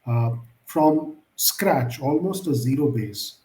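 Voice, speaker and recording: A man's voice: mid-range voice, animated, slow pace, dark tone, roomy sound, a little hiss or hum, rough recording. A male interview host who asks questions in a formal, elaborate way, often hedging with "I would say.".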